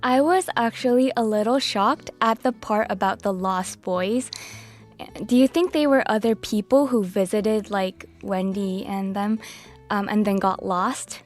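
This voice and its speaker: A woman's voice: medium pitch, measured pace. A woman speaking as a guest on a children's radio show, in casual conversation. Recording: music playing behind the voice.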